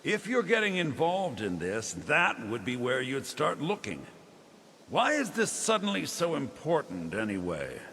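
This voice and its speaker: A guarded, shrewd fantasy game fence. He sounds dry and businesslike, a little high with lively pitch, in a long reverb. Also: a little slow, full character voice. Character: shrewd, guarded male underworld trader. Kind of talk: fantasy video game voice acting. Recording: long reverb with faint ambient noise.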